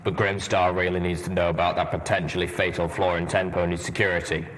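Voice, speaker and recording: A man voicing a stern, gruff armored stallion soldier in a story reading. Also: low-pitched, flat delivery, fast, a bit bright, slight background noise.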